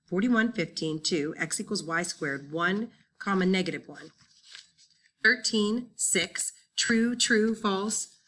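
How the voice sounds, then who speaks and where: A woman reading a first-person children's novel as an expressive, dramatic audiobook narrator. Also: mid-range voice, takes it slowly. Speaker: audiobook reader.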